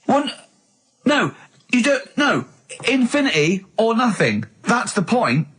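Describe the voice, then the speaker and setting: An exasperated man hosts comic radio banter. His voice swings widely in pitch and has a rough, husky edge. He badgers and interrupts as he goes. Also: very animated, takes it slowly, a bit bright. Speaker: radio show host.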